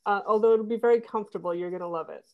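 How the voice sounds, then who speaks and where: A woman's voice: mid-range voice, rapid-fire, highs cut off. A woman guest on a podcast, explaining things casually and conversationally in a wordy, rambling way.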